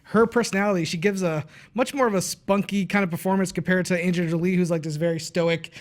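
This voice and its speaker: A man with a high-pitched voice talks quickly as a guest on a casual film review. He is animated and conversational, slipping into imagined quotes to illustrate his opinions.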